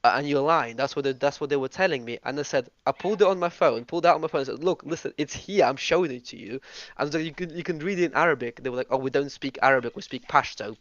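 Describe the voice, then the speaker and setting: Brief: man from Russia, group host, quick stop-start talker, dry lo-fi call audio. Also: on the high side, fairly bright tone, no echo. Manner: eager, talks in bursts with many restarts and fillers. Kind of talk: casual group voice chat.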